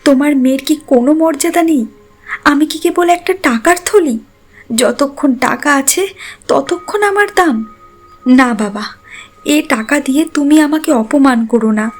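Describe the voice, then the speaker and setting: A woman's voice: high voice, measured pace, soft background music. A woman voicing a proud, hurt young married daughter in an audio story, earnest and defiant. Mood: indignant. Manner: earnest, emotional.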